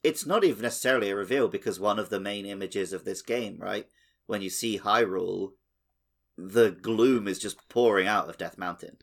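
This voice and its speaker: A man's voice: fairly low pitch, moderate pace, gravelly. A man co-hosting a podcast, chatting casually about a video game. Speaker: podcast co-host.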